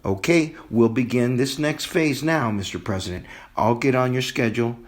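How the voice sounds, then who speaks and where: A man playing an earnest, deferential presidential aide in an amateur audio drama, pitching in a measured, salesmanlike way. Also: natural voice.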